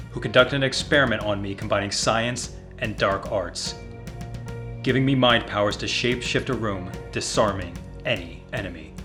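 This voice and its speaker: Guy doing a low grim masked hero out for revenge, audio drama, music under it. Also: close to an everyday voice. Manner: grave, menacing, declamatory. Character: brooding vengeful masked male vigilante hero. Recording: music behind the voice.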